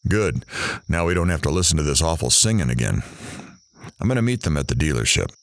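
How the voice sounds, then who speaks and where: An actor playing a grumbling hidden watcher in an audio drama, muttering dryly and sardonically to himself. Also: male voice, very deep voice, very animated, brisk, a little hiss or hum, exaggerated voice. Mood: wry, watchful.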